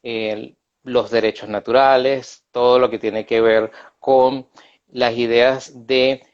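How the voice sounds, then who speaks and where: A man's voice: mid-range voice, level tone, slow, smooth. Venezuelan guy, middle-aged, chatting on a video call, lots of ums and asides, kinda muffled audio. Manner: chatty, many filler hesitations and self-asides. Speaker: interview guest, economist. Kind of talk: casual live video interview.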